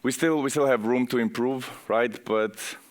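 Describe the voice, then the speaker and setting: A man's voice: medium pitch, normal speed. A man with a Slovenian accent presenting at a conference in an informal, conversational way, speaking directly to the audience. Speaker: conference speaker, software architect. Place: conference session room, on stage.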